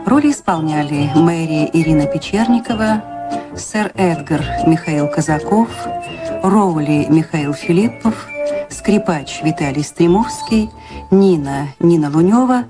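Low-voiced woman radio announcer. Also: expressive, unhurried, over loud music. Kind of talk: scripted radio credits announcement.

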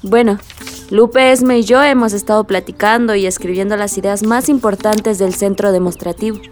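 Informal, teasing young woman, played by an actress, medium pitch. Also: steady tone, normal speed, soft background music, natural voice. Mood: welcoming. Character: friendly, teasing young woman on a community project team. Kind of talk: radio drama dialogue.

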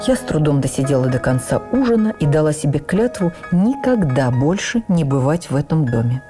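A woman reads a literary memoir for radio as an actress would, with measured, expressive and deliberate phrasing. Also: low-pitched, music in the background. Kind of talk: dramatic literary narration for radio.

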